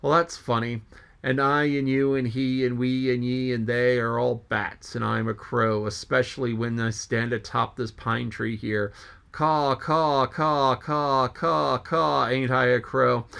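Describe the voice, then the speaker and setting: A man's voice: medium pitch, level tone, moderate pace, vocal fry, fairly bright tone, clean tone. A man reading aloud as a jolly, joking sea mate who rambles and exclaims to himself. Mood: musing.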